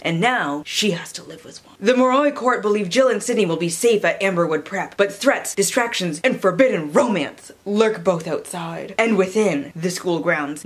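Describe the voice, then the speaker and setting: A woman reviewing books on her vlog, reading blurbs dramatically with sarcastic asides and casual commentary. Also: on the low side. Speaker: book reviewer and vlogger.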